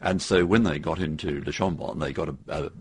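Older male author for interview: gravelly, deep, anecdotal, conversational, halting yet emphatic. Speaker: author interviewed about his history book.

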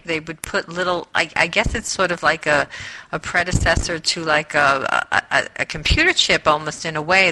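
A person in a casual group book discussion, speaking haltingly and often repeating words while making a point. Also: mid-range voice, sharp tone, low-quality recording. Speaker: book club participant. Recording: faint noise and clicks.

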